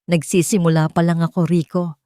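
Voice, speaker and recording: A woman narrator voicing a cold, vengeful wealthy businesswoman in an audio story, deliberate and commanding. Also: fairly low pitch, little pitch movement, mellow, dry recording, studio quality, natural voice. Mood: quietly vengeful. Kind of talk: dramatized audio story dialogue.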